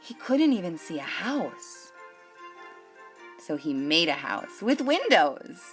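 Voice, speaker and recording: Female storytime host for young kids, unhurried, highly animated delivery. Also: faint music behind. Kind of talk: expressive picture-book read-aloud for children.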